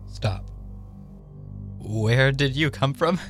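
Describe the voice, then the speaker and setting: A man's voice: mid-range voice, drawn-out words, faint music behind. A man playing an edgy, territorial survivor in a radio drama, blunt and suspicious in his delivery. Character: edgy, territorial armed stranger, embittered survivor.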